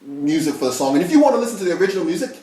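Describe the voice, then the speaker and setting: A man with a heavy accent and a slightly high voice, chatting as co-host and stumbling over his words, repeating them eagerly. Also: sing-song, racing, mellow, far from the mic. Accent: Spanish-influenced accent. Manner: stammering, repeats words, enthusiastic. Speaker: podcast co-host and singer. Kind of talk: casual chat. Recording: very echoey room.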